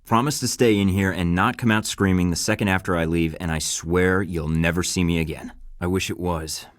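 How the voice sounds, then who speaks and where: A man voicing a deep-voiced, cocky American spy. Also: steady tone, fairly quick, no room sound, studio quality, close to an everyday voice. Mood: interrogating. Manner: wisecracking, flirty and menacing by turns. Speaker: game protagonist. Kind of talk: video game voice acting.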